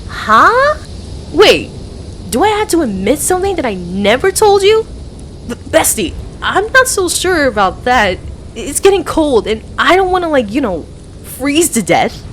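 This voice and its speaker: Woman playing a young female best friend in love, high voice, very slow halting delivery, playful and tender, ASMR roleplay, faint background noise. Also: very animated, a bit bright, exaggerated voice. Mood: playful unease. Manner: hesitant, halting, earnest. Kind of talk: ASMR roleplay audio acting.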